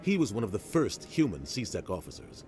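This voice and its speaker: An actor voicing a seasoned, pragmatic military officer in a video game, explaining with measured authority. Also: male voice, lowish voice, big pitch swings, darkish tone, faint music behind. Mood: pragmatic, firm. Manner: matter-of-fact, authoritative explaining.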